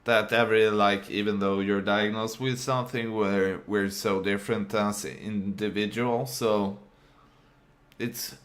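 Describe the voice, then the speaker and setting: Non-native English man, very deep, unhurried, talks in fits and starts. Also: fairly even intonation, fairly bright tone. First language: not English. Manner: halting, many fillers and restarts. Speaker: vlogger. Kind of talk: casual unscripted monologue.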